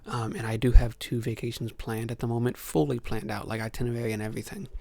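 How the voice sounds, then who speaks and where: A young man from Ohio hosting a soft-spoken ASMR Q&A, answering questions hesitantly with many ums. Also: mid-range voice, flat delivery, fast, gravelly, dry recording. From Ohio, USA. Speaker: ASMR channel host.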